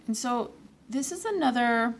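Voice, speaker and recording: A woman with a raspy voice today, giving loose, rambling, self-correcting instructions in a casual watercolour tutorial. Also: on the high side, animated, moderate pace, a little hiss or hum. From Canada. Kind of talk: casual painting tutorial voice-over.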